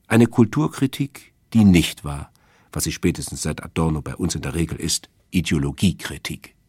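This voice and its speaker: Deep, husky man presenting a radio essay. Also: expressive, moderate pace, a little mellow, dry recording, studio quality. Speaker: radio presenter introducing a radio play. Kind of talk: scripted literary radio essay.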